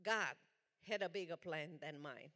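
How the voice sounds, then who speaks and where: A woman from Romania with a Romanian accent, giving an earnest, deliberate personal testimony as a guest speaker in a church. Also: deep voice, lively intonation, sharp tone. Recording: long church hall reverb.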